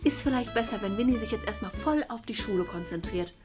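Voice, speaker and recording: A woman's voice: fairly high pitch, animated, fast talker, over music, lightly acted. A woman acting a stern, exasperated mother in a family web series, insistent and scolding. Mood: concerned. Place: small furnished rooms indoors.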